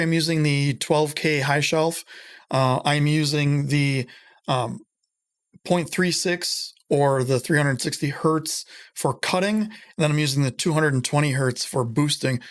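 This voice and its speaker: A middle-aged man hosting a casual home-studio tutorial, rambling and self-deprecating, with lots of fillers and a voice he says has gotten higher. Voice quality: higher voice than it used to be. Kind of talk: casual screen-recorded audio tutorial. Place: small home room with guitars.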